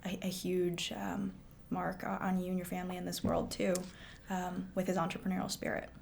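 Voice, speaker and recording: A woman's voice: fairly low pitch, completely flat delivery, brisk, gravelly, a little hiss or hum. A woman hosting an interview podcast, affirming her guest often and asking questions in a casual, friendly way. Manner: warm, affirming, many mm-hmms. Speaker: podcast host.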